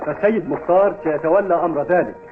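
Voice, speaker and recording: An upright young team captain acted by a man in a cartoon, with a high, earnest voice. He speaks firmly, and the audio is thin and phone-like. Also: fast talker, over music, natural voice. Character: principled, earnest male school football team captain. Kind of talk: Arabic cartoon dubbing. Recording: tinny, phone-like old TV dub audio.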